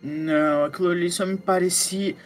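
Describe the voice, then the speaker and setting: A man as a higher-voiced skeptical Brazilian detective. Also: steady tone, normal speed, faint music behind, lightly acted. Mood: explanatory. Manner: casual, reasoning. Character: skeptical, analytical male psychologist detective in horror roleplay.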